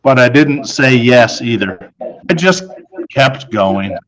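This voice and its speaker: Slow, deliberate man reading a children's story. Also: medium pitch, lively intonation, a crowd in the background, low-quality recording. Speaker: storybook narrator. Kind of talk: scripted children's story narration, first person.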